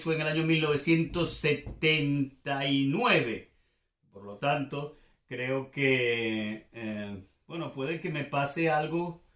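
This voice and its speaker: A man's voice: mid-range voice, a bit bright. An older man from Venezuela with a Venezuelan accent, giving informal commentary off the cuff, searching for words and repeating phrases for emphasis. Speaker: commentator and host. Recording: echoey, reverberant room.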